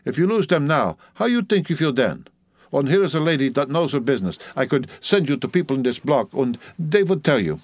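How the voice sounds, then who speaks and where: A man's voice: a little high, sing-song, a little fast, dry recording, clean studio sound, voice pushed up. A man voicing an enormously fat, hard-bargaining Dutch midwife woman who haggles bluntly in broken English. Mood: persuasive.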